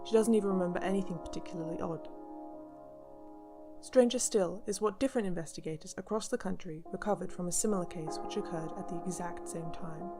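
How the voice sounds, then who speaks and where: A serious mystery-show host played by a woman, with a fairly low voice. She narrates in a measured, ominous way over prominent music. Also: lightly acted. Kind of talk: scripted narration in a radio drama. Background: music under the voice.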